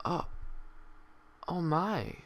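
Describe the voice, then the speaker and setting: A man voicing an earnest, inquisitive female unicorn with a precise, measured, questioning manner, in a story reading. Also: a little high, fairly bright tone, voice pushed up. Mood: surprised. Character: inquisitive, persistent young unicorn mare, sharp and earnest.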